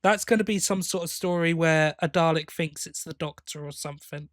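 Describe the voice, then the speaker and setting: Man, podcast host, fairly high voice, dry close sound, conversational, opinionated fan review. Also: fairly quick, no room sound. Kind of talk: casual fan review chat.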